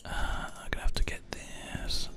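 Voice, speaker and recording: A person whispering ASMR commentary over video gameplay, close to a binaural microphone. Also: normal speed. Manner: whispering throughout. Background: keyboard typing and mouse clicks.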